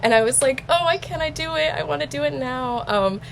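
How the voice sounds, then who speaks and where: A woman's voice: sits high, a little fast, gravelly. Nervous young woman, noisy recording. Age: young adult. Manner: nervous, hesitant, rambling with "like" and "you know". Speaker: vlogger and interviewee. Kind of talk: casual chat.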